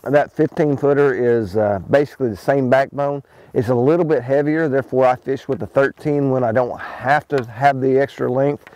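A man's voice: medium pitch, moderate pace, no room sound, poor audio. Middle-aged guy on a boat out on the lake, laid-back fishing how-to talk, lots of ums. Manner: relaxed, explains as he fishes, many ums and restarts. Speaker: fishing pro.

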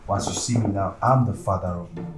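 A man's voice: sits low, brisk, natural voice. A man with a Nigerian accent acting a pleading, boastful, impatient partner in a comedy skit, whiny, insistent and repetitive. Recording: echoey room, far from mic, music behind.

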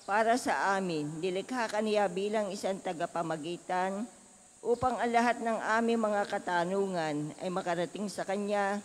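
A person leading liturgical prayers in a steady, solemn way. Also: mid-range voice, big-room sound. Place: church.

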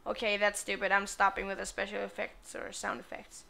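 A woman's voice: medium pitch, monotone, brisk, vocal fry, pushed and bright. A woman giving casual, irreverent video game commentary, playfully muttering asides as she plays.

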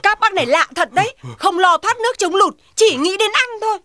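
Cheeky, very high-pitched cartoon cat. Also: lively intonation, fairly quick, no room sound, studio quality, full character voice. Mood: scornful, teasing. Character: clever, cheeky cat who teases the neighbours. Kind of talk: Vietnamese children's cartoon dubbing. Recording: loud children's music underneath. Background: children's music.

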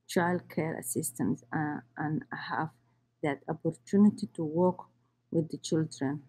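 A woman, reading her own life story in halting English. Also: sits low, warm tone, a little hiss or hum, poor audio. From Somalia. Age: 30s. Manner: halting, with restarts and non-native grammar. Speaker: immigrant storyteller.

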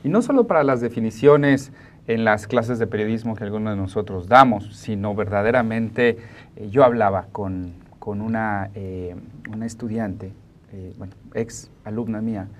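A man's voice: fairly low pitch, normal speed, slight background noise. Digressive Mexican man hosting a talk show. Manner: digressive, colloquial. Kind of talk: informal studio discussion. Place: TV studio. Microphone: lapel mic.

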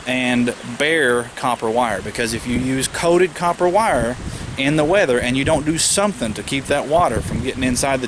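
A man in his thirties giving a casual, practical how-to explanation in a folksy way, recorded outdoors on a camera mic. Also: medium pitch. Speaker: amateur radio hobbyist and vlogger. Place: outdoors in a rural yard. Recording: outdoor camera audio, loud background noise. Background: loud outdoor ambient noise.

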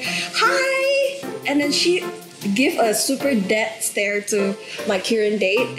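A young woman from Indonesia, whose first language is Indonesian, chatting on a panel and telling stories with lots of giggles. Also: medium pitch. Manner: giggly, animated storytelling. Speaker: panel guest. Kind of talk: casual panel chat. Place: studio set with a long table. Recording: roomy sound with background music. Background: music.

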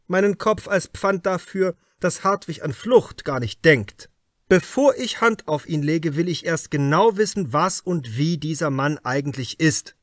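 A man voicing a calm, brooding male police detective who speaks firmly and deliberately in an audiobook. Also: a little high, no room sound, clean studio sound. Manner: firm, deliberate. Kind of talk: audiobook character dialogue.

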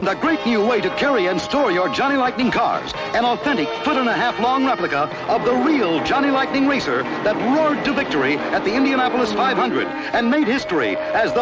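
Male announcer for an old TV toy spot, highly animated pitch, over loud music. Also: poor audio. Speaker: commercial announcer. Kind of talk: vintage toy commercial announcing.

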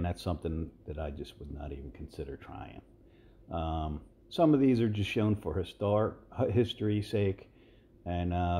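A man in his sixties giving relaxed, rambling voice-over commentary as a fitness video host, with many fillers and restarts. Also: low voice. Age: 60s.

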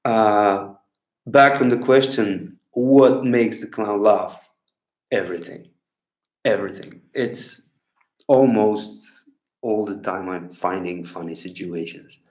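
A man in his thirties from Bulgaria, speaking English with a Bulgarian accent, rambling and pausing to find words as he answers questions into a laptop webcam at home. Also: on the low side, darkish tone. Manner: unhurried, rambling, pauses to search for words. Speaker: clown answering viewer questions. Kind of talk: casual talk to camera. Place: small room at home, indoors. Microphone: laptop webcam at desk. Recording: echoey room on a laptop mic.

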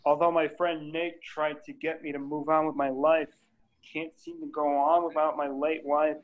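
A man's voice: fairly high pitch, little pitch movement, normal speed, close to an everyday voice. A man reading the part of an overwhelmed, grieving widower at a sitcom table read over a video call. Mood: sad. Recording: muffled video call audio.

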